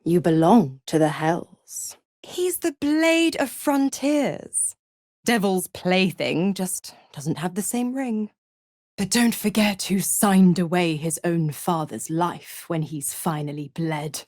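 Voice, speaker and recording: A woman's voice: fairly low pitch, expressive, takes it slowly, darkish tone, dry recording, clean studio sound. A woman playing a mocking, scheming female devil in a video game, theatrical, teasing and condescending. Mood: smug, scheming. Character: seductive, mocking, scheming female devil, smugly cruel patron. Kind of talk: video game character acting.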